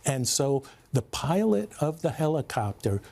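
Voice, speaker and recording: A man giving measured, technical expert commentary in a news interview, speaking deliberately as an aviation analyst. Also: mid-range voice, big pitch swings, gravelly, darkish tone. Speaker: aviation expert guest, former pilot.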